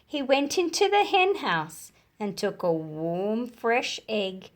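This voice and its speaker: A woman reads a picture book aloud for young listeners. Her voice sits fairly high and swings widely in pitch, and she takes her time with each phrase, shaping it expressively. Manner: slow, deliberate, animated delivery for young listeners. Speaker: storyteller reading aloud to children. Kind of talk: expressive children's storybook read-aloud.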